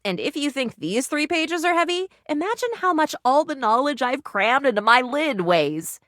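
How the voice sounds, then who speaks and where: An actress voicing an earnest, anxious, bookish would-be doctor in a video game, with quaint, wordy, theatrical delivery. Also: female voice, high-pitched, lively intonation, normal speed, fairly bright tone, dry recording, studio quality. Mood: eager, chatty.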